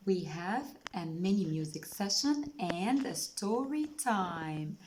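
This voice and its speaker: A woman's voice: medium pitch, darkish tone, slight background noise. A woman hosting a puppet show and sing-along session, speaking simply and directly to small children. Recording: echoey room.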